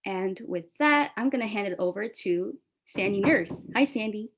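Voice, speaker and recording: A woman's voice: mid-range voice, lively intonation, normal speed, low-quality recording. A woman from New York City, a Bangla speaker, hosting a press call as an organizer in an enthusiastic, casual, friendly way. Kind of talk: upbeat press call moderation.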